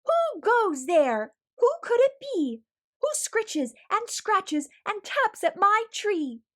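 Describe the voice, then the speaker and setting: A woman's voice: very high-pitched, sing-song, takes it slowly, pitched above the performer's own voice, heavily acted. A woman voicing a timid little male mouse from a storybook who tries to sound brave and often speaks in questions. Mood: alarmed, bold. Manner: rhyming, exclamatory questioning.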